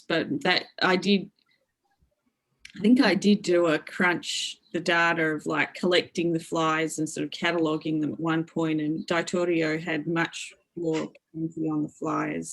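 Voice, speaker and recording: Woman, middle-aged, interview guest, lecturer, very slow pace, thoughtful, fillers and restarts. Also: low-pitched, poor audio. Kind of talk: academic interview talk.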